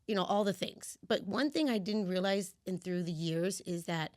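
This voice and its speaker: Lively first-generation woman coach, dry close sound. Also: lowish voice, moderate pace, dry recording. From United States, of Cuban parents. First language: Spanish. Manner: animated storyteller, acts out her own past voice. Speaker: guest, women's empowerment coach. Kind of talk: casual interview conversation.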